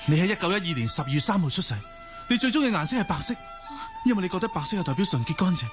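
A man's voice: sits high, sing-song, very fast. A man playing an urgent, lovestruck young man in a melodramatic Cantonese radio drama, with a Hong Kong accent. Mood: earnest, pleading. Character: ageless young man regaining past life, lovestruck, frantic. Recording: music under the voice.

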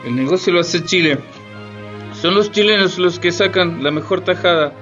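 An indignant, bossy bourgeois gentleman played by a man in a radio play, very high-pitched and sharp. He exclaims theatrically, on a dull recording with faint music underneath. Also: normal speed. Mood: aggrieved. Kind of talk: Spanish-language radio theatre acting.

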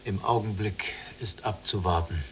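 A male actor plays a young prisoner in a radio play. His voice is on the low side, earnest and searching, an idealist pleading with his cellmates. There is faint noise behind him. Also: normal speed, lightly acted. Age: young adult. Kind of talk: literary radio play acting.

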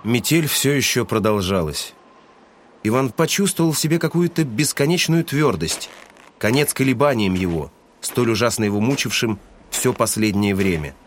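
A man's voice: mid-range voice, lively intonation, normal speed, a little hiss or hum. A man narrating a literary audio drama in a scripted, storytelling style.

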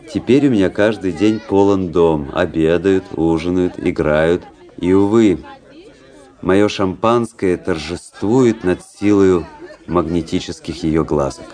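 An actor playing a cynical, world-weary young aristocratic officer in a radio play, narrating in a measured, ironic literary manner. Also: male voice, low voice, warm tone. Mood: smug, ironic. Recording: radio play with faint music behind.

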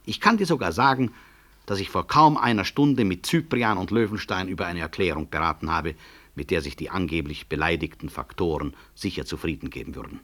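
Deep, husky, proud professor. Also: male voice, bright, forceful tone. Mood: cool, ironic. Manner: measured, dry irony, firm. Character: proud, upright male professor of medicine, ironic and unyielding. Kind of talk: 1960s German radio play acting.

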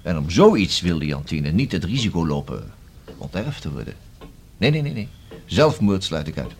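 A male actor in a Dutch radio play as a probing, self-assured police inspector who questions deliberately and dryly, with a husky voice. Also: low voice, sing-song, darkish tone, a little hiss or hum, full character voice. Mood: dry, certain. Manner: deliberate, rhetorical questioning with emphatic repeats.

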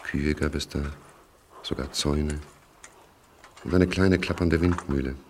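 A man's voice: rumbling low, fairly even intonation, measured pace, a little hiss or hum. A man narrating a literary radio play about war, as a storyteller reading scripted prose. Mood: measured. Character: male storyteller of a young soldier's war.

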